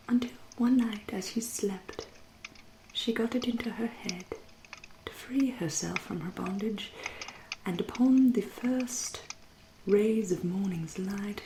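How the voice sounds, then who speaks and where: A woman voicing a centuries-old aristocratic English vampire in a soft, breathy, often whispery ASMR roleplay, genteel and deliberate, with dry, polite menace. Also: mid-range voice, echoey room, noisy background, natural voice. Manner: genteel, deliberate, drawn-out phrasing with dry irony.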